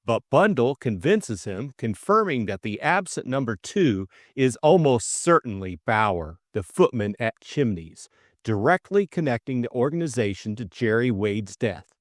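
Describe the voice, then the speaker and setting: A man's voice: medium pitch, big pitch swings, a little slow, no echo, studio quality. A man reading a scripted story recap and novel as an audiobook co-narrator, in dramatic style.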